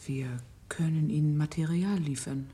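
A cunning enslaved woman schemes in a science fiction radio play, played by an actress. Her voice is low and mellow-dark, often slipping into breath. She speaks with cool contempt and deliberate, conspiratorial care. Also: close to an everyday voice. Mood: resolute, conspiratorial. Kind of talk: 1970s German radio drama acting.

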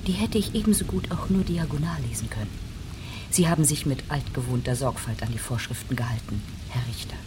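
A woman's voice: a bass voice, expressive, a little fast. An actress playing a cold, resentful female judge in a German radio drama, cutting in manner. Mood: icy, formal. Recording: rainstorm noise over the voice. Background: rain, thunder.

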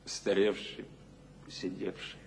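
Low, creaky melancholy man. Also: flat delivery, slow pace, a little mellow, slight background noise. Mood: sorrowful. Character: weary, melancholy man confessing lifelong love. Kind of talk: Soviet radio drama acting.

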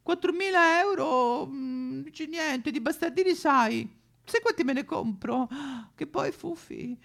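A man voicing a batty, snobbish rich housewife in creaky falsetto, rambling and affected in a satirical monologue. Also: slow, a bit bright, heavily acted. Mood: fearful, indignant. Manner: affected, rambling, swooning, whimpering.

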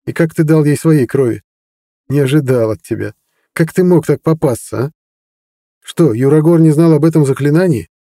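A man playing a sly, learned prince, higher than his own voice, teasing and ironic. Dark tone, dry and close. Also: moderate pace, dry recording. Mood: mocking.

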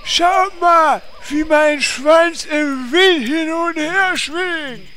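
A man voicing a crude, gleeful beast with a tail, boasting in a silly, excitable way. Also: extremely high pitch, drawn-out words, slight background noise, heavily acted. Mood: proud.

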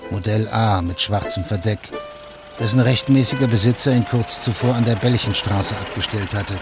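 A man with a slightly low voice narrates a radio drama from a script. He is sober and precise, and music plays clearly behind him.

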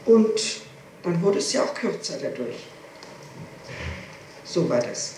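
A woman's voice: lowish voice, big pitch swings, slow pace, mellow. A woman answering questions in a formal interrogation, explaining herself and insisting on details as she repeats them. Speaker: person being questioned by a prosecutor. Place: reverberant room, interrogation recorded on tape. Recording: echoey archival tape, distant mic, hiss.

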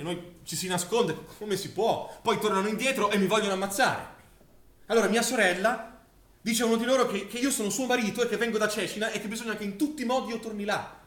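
An actor playing a distraught survivor of a wartime massacre, testifying in a halting theatrical monologue that swings from hushed to loud. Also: male voice, mid-range voice, reverberant, natural voice. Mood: urgent, tense. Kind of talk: theatrical monologue in a radio-broadcast stage play.

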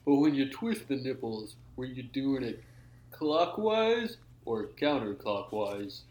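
Mellow straight-man co-host, roomy echo. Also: medium pitch, lively intonation, takes it slowly. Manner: plays straight man with mock-serious questions. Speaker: podcast co-host. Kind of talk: improvised comedy banter.

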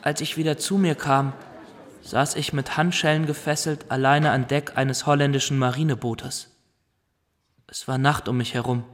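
An actor playing a sober man who recounts his ordeal in measured, formal radio drama narration. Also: mid-range voice, steady tone, natural voice. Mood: sober, reflective.

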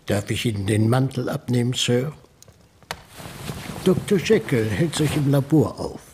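An actor playing a formal, deferential butler in a radio drama, speaking in a measured way. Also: male voice, mid-range voice, lively intonation, a little mellow, slight background noise, lightly acted. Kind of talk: radio play acting.

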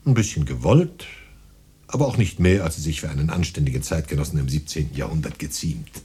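An actor playing a modest, eager and fussy poet in a German radio drama, husky and musing, muttering to himself. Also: male voice, extremely low pitch, lively intonation, fairly quick, dark tone, heavily acted. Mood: pleased. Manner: musing, hesitant, muttering as he reads.

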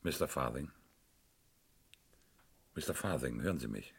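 An actor playing a shrewd, sardonic police inspector in a crime radio play, wry and teasing with suspects. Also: male voice, extremely low pitch, lively intonation, unhurried, gravelly, slightly rough, full character voice. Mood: grave, insistent.

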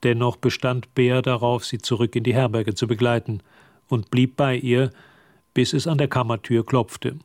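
A man as the narrator of a radio drama, reading scripted literary prose in a measured way. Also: medium pitch, little pitch movement, darkish tone, dry recording, clean studio sound, lightly acted. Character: measured male literary storyteller.

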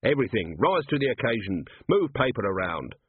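Man playing a terse older commander, husky voice. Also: mid-range voice, very animated, a little fast, dry recording, narrow, tinny audio. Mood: brisk, commanding. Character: gruff, sardonic older police commander.

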